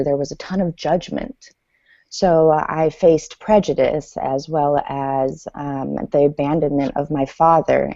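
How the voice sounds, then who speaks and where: A woman in her forties from Iran, a native Farsi speaker, telling her life story as a guest in a podcast interview in a measured, reflective way with frequent ums. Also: deep voice, creaky, mellow, no room sound. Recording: dull lo-fi sound.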